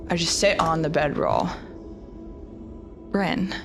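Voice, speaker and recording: A woman's voice: deep voice, wildly swooping pitch, slow pace, vocal fry. A woman talking casually as a tabletop roleplay player, thinking aloud as she describes what her character does. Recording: prominent music bed. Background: background music score.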